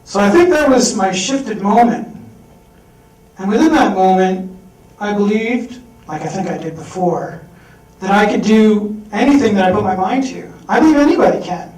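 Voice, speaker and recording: High-voiced man telling a story in a hall. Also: moderate pace. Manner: candid, animated storytelling, acts out quoted voices. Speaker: speaker at a fundraising event. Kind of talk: personal story talk on stage. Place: event hall with audience. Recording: echoey hall recorded from far off.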